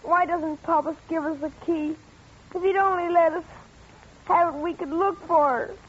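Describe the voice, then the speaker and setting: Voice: extremely high pitch, steady tone, normal speed. An actor playing a frightened, stubborn young boy, grieving and resentful, in a 1940s radio suspense drama. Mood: insistent, secretive. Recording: muffled vintage 1940s radio recording.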